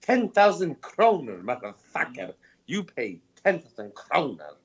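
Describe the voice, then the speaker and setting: A man calling in to a casual chat, loud and playful, swearing often, heard over dull lo-fi audio. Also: a little high, huge pitch swings, measured pace, breathy edge.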